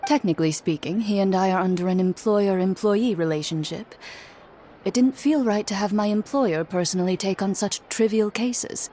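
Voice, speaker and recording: A woman's voice: medium pitch, normal speed, mellow, a little hiss or hum, lightly acted. A woman voicing a cool, composed female guardian in a video game, formal and matter-of-fact. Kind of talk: video game character dialogue.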